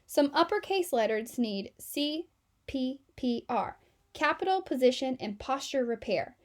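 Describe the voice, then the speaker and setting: A woman plays a storybook emergency medic. The delivery is brisk and admonishing, in a high voice that takes no nonsense. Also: animated. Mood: urgent diagnosis.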